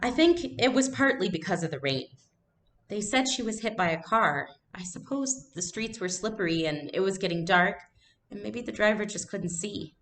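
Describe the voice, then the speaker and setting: Woman reading as a confiding young girl, raised pitch. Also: lively intonation, moderate pace, close to an everyday voice. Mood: somber, remembering. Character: reassuring young girl, confiding best friend.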